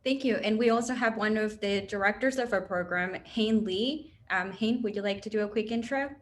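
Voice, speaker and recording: A woman's voice: medium pitch, normal speed. A young woman from Chile hosting an online info session, chatty and upbeat with many fillers, heard over a video call. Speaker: host, operations manager.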